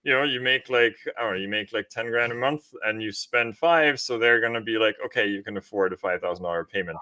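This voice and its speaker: Bright-voiced man chatting. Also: on the low side, brisk. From Austria. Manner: informal, often checks with "right?". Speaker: podcast host. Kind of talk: casual conversational explanation.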